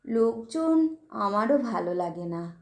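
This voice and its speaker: A woman plays a ghostly gentleman poet in an audio ghost story, melancholy and secretive. The delivery is slow and halting, with a hushed, plaintive politeness. The sound is noticeably roomy. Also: fairly high pitch, very animated, full character voice. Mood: resigned, secretive.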